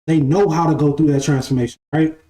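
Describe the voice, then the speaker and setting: A young man in his twenties talks through spiritual ideas in a loose, repetitive way, checking in with "right?" as he goes. His voice is mellow and sits a little high. The room is echoey, with faint music underneath. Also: steady tone, fast. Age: 20s. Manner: repeats phrases, asks "right?". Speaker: spiritual live-stream host. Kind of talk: casual spiritual monologue.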